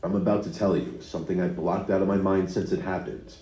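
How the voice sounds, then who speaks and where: Deep dark male narrator, steady scripted storytelling, muffled roomy playback. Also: quick pace. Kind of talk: scripted first-person narration.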